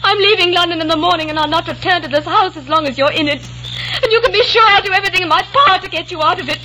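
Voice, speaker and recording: A woman's voice: extremely high pitch, racing. An actress playing a frightened young woman breaking her engagement in an old radio drama, speaking tense and defiant. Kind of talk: 1940s radio acting. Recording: noisy old radio recording.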